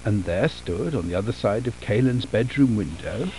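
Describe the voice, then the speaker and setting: A man playing a soothing children's storybook character, speaking in slow, drawn-out theatrical phrases. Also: on the low side, animated, darkish tone, a little hiss or hum, close to an everyday voice, poor audio. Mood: gentle storytelling. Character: soothing storybook narrator and coaxing goofy sleep fairy. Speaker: narrator of a children's bedtime story film.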